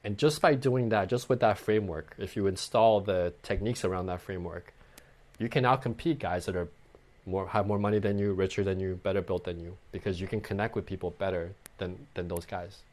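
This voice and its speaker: Man, low voice, dating coach, conversational, acts out example lines. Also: brisk, a little mellow. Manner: acts out sample lines, frequent okay and right. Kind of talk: conversational advice.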